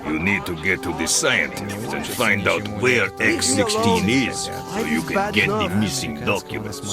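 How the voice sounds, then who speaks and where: An actor playing a shrewd, businesslike male trader who gives deliberate briefings in a video game. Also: on the low side, lively intonation, vocal fry. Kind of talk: scripted video game dialogue. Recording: loud music behind the voice.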